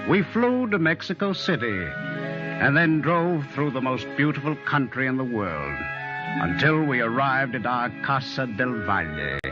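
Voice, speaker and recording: An actor playing a wistful plantation owner who narrates his memories in a dramatic, reflective 1940s radio style with lingering pauses. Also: medium pitch, sing-song. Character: grieving, wistful male plantation owner recalling a lost legend. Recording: dull old radio recording with music.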